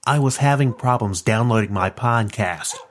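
A man's voice: on the low side, normal speed, faint music behind, natural voice. A man playing an earnest customer giving a testimonial in a parody commercial.

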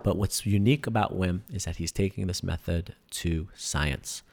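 A man with a low, gravelly voice, talking eagerly on a podcast. He explains things at length, with plenty of ums and uhs. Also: normal speed, darkish tone. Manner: enthusiastic, explanatory, many fillers. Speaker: podcast guest, breathing workshop instructor. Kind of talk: casual podcast conversation.

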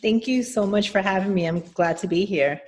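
A woman's voice: mid-range voice, brisk, a little mellow. A woman from New York City, a nonprofit executive, answering interview questions in a thoughtful, explanatory way with frequent restarts.

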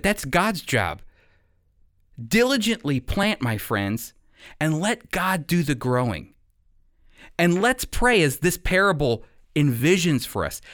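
A man's voice: on the high side, fairly bright tone, dry recording, clean studio sound. A man preaching as a radio Bible teacher in a conversational sermon style, earnest and emphatic.

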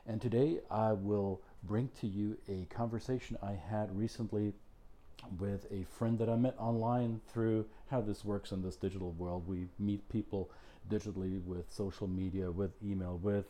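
Low, dark-voiced man hosting. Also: steady tone, moderate pace. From Germany. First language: German. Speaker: podcast host. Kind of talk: podcast introduction and interview.

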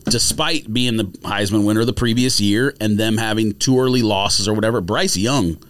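A man's voice: on the low side, moderate pace, a bit bright, clear, resonant tone. A man in a casual sports debate, speaking emphatically and with opinions, using slang as a podcast host.

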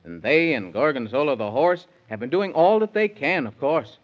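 A man's voice: fairly high pitch, sing-song, moderate pace. A man narrating a 1930s children's radio serial in sing-song rhyming verse. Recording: dull 1930s radio recording.

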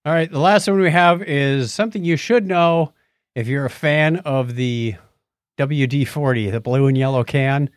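A man's voice: medium pitch, normal speed, clear, resonant tone, no room sound, studio quality. A middle-aged or older man co-hosting a podcast, chatting casually and summing up articles with wry jokes. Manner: wry, joking. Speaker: podcast co-host. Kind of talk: casual chat, summarizing articles.

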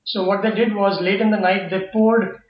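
High-voiced male podcast host, roomy. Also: flat delivery. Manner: eager, chatty, halting questions. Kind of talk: interviewing. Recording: dull roomy lo-fi recording.

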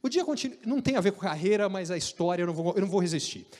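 A man with a Brazilian Portuguese accent telling an animated, joking story about his career, with frequent restarts. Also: sits high, very fast, in a large hall. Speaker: speaker recounting career story. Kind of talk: casual storytelling talk.

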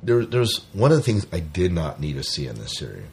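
Deep-voiced man chatting. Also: sing-song, brisk, slight background noise. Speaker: podcast co-host. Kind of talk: casual chat reviewing a TV series.

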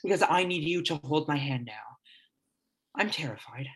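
A man's voice: on the high side, normal speed, husky, full character voice. A young actor playing a frightened teenage boy who talks in a subdued, resigned way. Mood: wistful. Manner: subdued, flat, trying to sound calm. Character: scared teenage boy Rory, quietly resigned older brother. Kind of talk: student audio drama.

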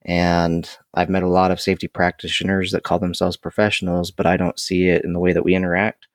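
Low, flat-voiced man in interview. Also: brisk, no room sound, clean studio sound. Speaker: podcast guest, safety director. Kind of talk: conversational interview answers.

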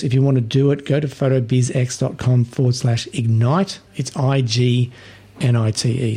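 A man's voice: medium pitch, breathy edge. Scratchy-voiced man, sore throat. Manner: relaxed, chatty, with small restarts. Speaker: podcast host. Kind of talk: casual podcast monologue.

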